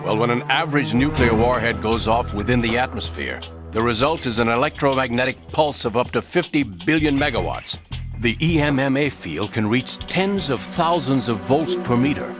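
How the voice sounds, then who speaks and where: A secretive intelligence colonel in a video game, played by a man, speaks in a mid-pitched voice. He is dry and conspiratorial, explaining things like an impatient insider. Music plays clearly behind him. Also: animated, moderate pace, close to an everyday voice. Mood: dry, explanatory. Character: secretive male intelligence colonel, undercover hostage, knowing and impatient. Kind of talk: dramatic video game voice acting.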